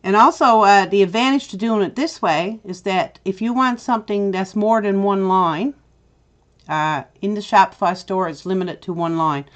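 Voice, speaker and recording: A middle-aged woman with a Newfoundland accent, chatty and step-by-step as she hosts a software tutorial. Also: mid-range voice, normal speed. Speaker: tutorial host. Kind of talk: screen-recorded software tutorial.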